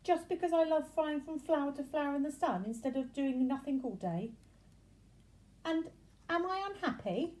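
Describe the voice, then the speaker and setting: A woman's voice: sits high, animated, moderate pace, mellow, voice pushed up. A woman voicing an earnest young male bee in a children's storybook, with an emphatic manner. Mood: hurt. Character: earnest, anxious young male worker bee, bossy when crossed.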